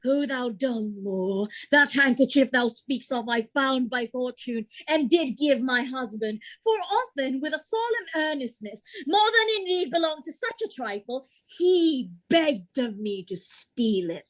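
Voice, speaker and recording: A woman's voice: high voice, expressive, a little slow, hardly any pauses. A woman playing a fierce, outspoken, heartbroken serving woman in a Shakespearean audio drama, impassioned and declamatory. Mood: scornful.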